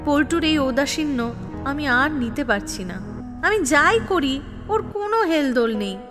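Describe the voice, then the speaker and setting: High, animated, scheming young woman in love, acted by a woman. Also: unhurried. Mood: brooding, resolute. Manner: expressive, exasperated, confiding. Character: scheming, proud, restless young woman in love, narrating her story. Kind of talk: dramatic first-person audio story narration. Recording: long reverb, music behind. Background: music.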